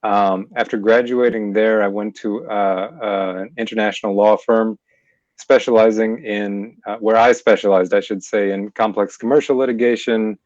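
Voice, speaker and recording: A middle-aged man with a low voice speaks in a measured, articulate way over a video call. The audio is dull and lo-fi, with a dry sound and no room echo. He pauses on fillers as he recounts things. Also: steady tone, a little mellow. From born in Scotland, raised in Pennsylvania. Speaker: mediator and lawyer, interview guest. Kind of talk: casual interview answers.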